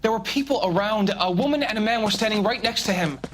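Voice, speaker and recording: An actor playing a nervous, defensive American suspect in a crime video game, making excuses in a pleading, anxious way. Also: male voice, high-pitched, fast talker, fairly bright tone.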